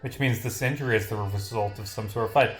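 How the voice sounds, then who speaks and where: A man's voice: on the low side, fast, reverberant, faint music behind, close to an everyday voice. A man voicing an insistent detective from a visual novel, questioning a suspect in a pressing, accusatory manner.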